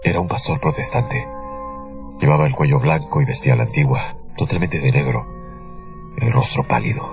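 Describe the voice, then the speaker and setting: Un actor de radionovela que interpreta a un superviviente traumatizado que narra en primera persona, vacilante, buscando las palabras. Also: male voice, a bass voice, gravelly, highs cut off, over music. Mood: somber recounting. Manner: halting, searching for words. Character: traumatized male survivor, husband and father, recounting invasion. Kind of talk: radio drama, first-person dramatic narration.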